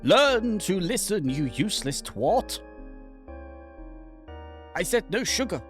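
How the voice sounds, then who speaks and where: A cruel, bullying submarine officer acted by a man in a horror game reading, scornful and commanding. His pitch jumps sharply as he orders and insults, with music behind him. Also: sing-song, slow pace, heavily acted. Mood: contemptuous. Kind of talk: dramatic reading of a horror visual novel.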